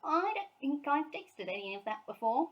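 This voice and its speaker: A woman's voice: high-pitched, big pitch swings, normal speed, dull audio, pitched above the performer's own voice. A woman voicing a polite, earnest and hopeful young bear in a storybook reading. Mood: curious.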